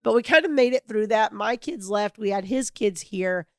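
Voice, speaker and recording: A middle-aged woman hosting a podcast alone, talking in an earnest, reflective and hopeful way, her voice raspy from a cold. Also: mid-range voice, animated, moderate pace, dry recording, studio quality. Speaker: podcast host. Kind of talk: heartfelt solo podcast monologue.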